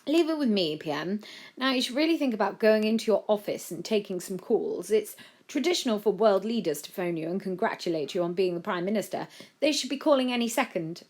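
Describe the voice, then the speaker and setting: Woman doing a formal male aide, very animated. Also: medium pitch, a little fast, voice pushed up, close to an everyday voice. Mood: deferential. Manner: formal, deferential, explanatory. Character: formal, dutiful male butler-like government aide.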